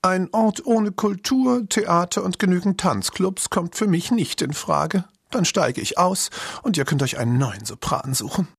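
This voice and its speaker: A man voicing a bored, haughty female cat singer in a storybook reading, high and drawn out. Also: expressive, a little slow, gravelly, breathy edge, no echo, studio quality, exaggerated voice.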